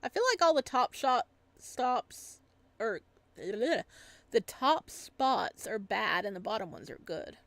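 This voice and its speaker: A woman playing a visual novel, reading the narration aloud and adding casual, playful, expressive commentary as she goes. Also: on the high side, slow, no echo. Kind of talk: casual game commentary mixed with dramatic narration.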